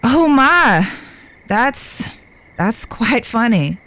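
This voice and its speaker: A woman's voice: a little high, big pitch swings, very slow, a bit bright, no echo, full character voice. A woman playing a genteel, tender and fretful Old West lady with proper, polite phrasing in a western audio drama. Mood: distracted, tender.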